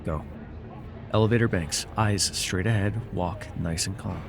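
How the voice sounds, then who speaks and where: A man playing a controlling, vengeful supervillain in an immersive ASMR roleplay, taunting and giving orders in a deliberate, mocking way. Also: low voice, gravelly, noisy background. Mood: controlled, commanding. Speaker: voice actor.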